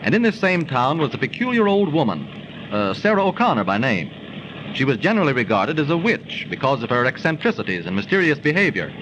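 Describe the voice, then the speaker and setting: An actor playing a wry, slightly pompous, learned friend telling a legend in 1930s radio style. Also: male voice, mid-range voice, big pitch swings, a little fast, highs cut off, lightly acted. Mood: storytelling. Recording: noisy old 1930s radio recording.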